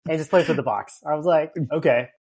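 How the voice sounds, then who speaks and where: A man with a husky voice chatting easily on a podcast as a guest, telling jokey family anecdotes. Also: fairly high pitch, quick pace, a little mellow, low-quality recording. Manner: easygoing, jokey. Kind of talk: casual chat.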